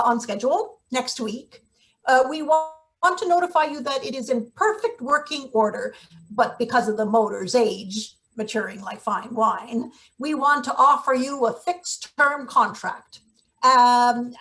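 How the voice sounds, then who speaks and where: A woman's voice: high-pitched, a bit bright, rough recording. A woman acting a brusque, scheming, cynical maintenance boss in a read-through of a satirical stage play. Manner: bossy, clipped, sardonic.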